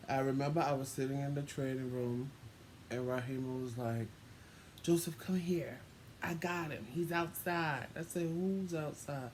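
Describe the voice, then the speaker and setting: A man's voice: medium pitch, moderate pace. A man telling a personal story casually, in a dry, sassy, unimpressed way, acting out bits of remembered dialogue. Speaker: co-host telling a couple's story. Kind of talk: casual storytelling with acted-out quotes. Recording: noisy room ambience.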